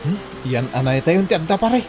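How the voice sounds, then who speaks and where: A man's voice: on the high side, animated, quick pace, a little mellow, soft background music, close to an everyday voice. A man playing a fretful village husband in an Ilocano comedy drama, with an Ilocano accent. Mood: chatting, concerned. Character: village husband fretting over party guests.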